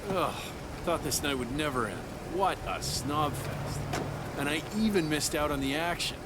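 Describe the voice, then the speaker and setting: An actor playing a gruffly complaining man in a video game, fed up and unimpressed. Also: a little high, lively intonation, moderate pace, heavy background noise, lightly acted. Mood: weary, grumbling. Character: grumbling, snobbery-hating male party guest. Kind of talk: cinematic video game acting.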